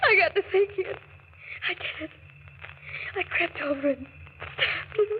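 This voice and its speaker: A fragile mother racked with guilt and fear. Her high voice is halting and tearful in the melodramatic manner of 1940s radio, heard through a muffled broadcast with faint hiss. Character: anguished, guilt-ridden mother, fragile and fearful.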